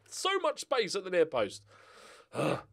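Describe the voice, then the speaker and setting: Animated man with a bright, lively voice. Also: high-pitched, unhurried, gravelly. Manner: animated, sweary, talks to players and viewers. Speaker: gaming streamer. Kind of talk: casual football manager game commentary. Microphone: close desk microphone.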